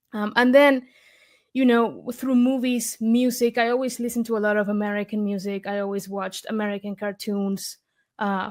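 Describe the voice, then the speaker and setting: A young woman from Argentina, a guest in an interview, speaking earnestly and from the heart, with many fillers and restarts. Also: mid-range voice. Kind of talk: conversational interview.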